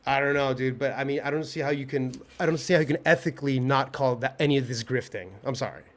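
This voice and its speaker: A man in casual podcast banter, teasing and swearing freely as he talks fast over the other speaker. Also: medium pitch. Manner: profane, animated teasing. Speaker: podcast guest.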